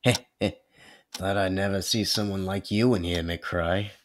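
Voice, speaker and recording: A man's voice: low-pitched, fairly even intonation, unhurried, slight background noise. A man playing a mocking, menacing teenage school bully in an amateur comic dub. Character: teen male school bully, sneering and threatening.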